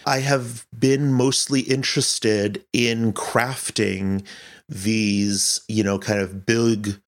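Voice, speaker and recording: Male interviewee, lower voice, unhurried and halting, reflective conversational delivery. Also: fairly even intonation. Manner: halting, thinking aloud in broken phrases. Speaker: podcast director and producer being interviewed.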